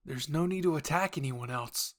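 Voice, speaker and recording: A man's voice: a little high, moderate pace, a bit bright, lightly acted. A man voicing an earnest, protective young male soldier in an audio roleplay, pleading and reassuring. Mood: urgent pleading.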